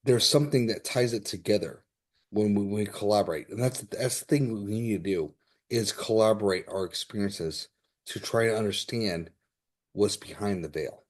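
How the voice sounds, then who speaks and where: Low-voiced man hosting, searching for words. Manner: halting, searching for words, repeats himself. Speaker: podcast host. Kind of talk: casual, exploratory interview chat.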